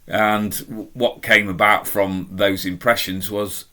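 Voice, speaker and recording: A middle-aged man with a deep, strong voice, telling a personal story in a chatty, self-deprecating, emphatic way. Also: flat delivery, takes it slowly, pushed and bright. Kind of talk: casual anecdotal storytelling.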